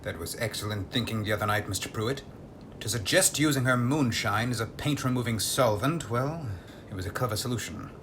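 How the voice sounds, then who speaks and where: An actor playing a secretive, composed gentleman investigator in an audio drama, with a measured, formal and politely authoritative manner. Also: mid-range voice, soft background music, lightly acted. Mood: approving.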